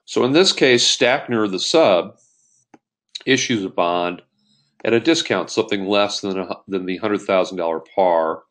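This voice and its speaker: A man's voice: lowish voice, moderate pace, a bit bright. A man giving an explanatory lecture as an accounting instructor, working step by step through an example and restating key points. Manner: step-by-step, rephrases key points, poses questions to himself.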